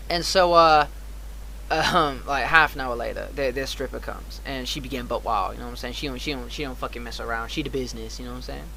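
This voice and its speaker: A man casually telling a rambling, animated anecdote on a livestream, acting out the dialogue and swearing often. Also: medium pitch. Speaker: streamer. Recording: clearly noisy background.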